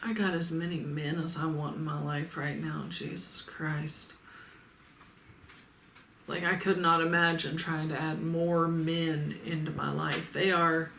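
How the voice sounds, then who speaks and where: A woman's voice: sits low, little pitch movement, unhurried, vocal fry, a bit bright, a little hiss or hum. A Midwestern woman reading viewer comments aloud in a casual vlog, blunt, sarcastic and freely swearing. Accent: Midwestern American. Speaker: adult toy reviewer and YouTuber. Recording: roomy echo with faint ambience.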